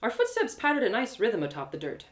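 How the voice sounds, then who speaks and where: A woman performing a first-person adventure story as a live reading, dramatic and expressive. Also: on the high side, fast talker.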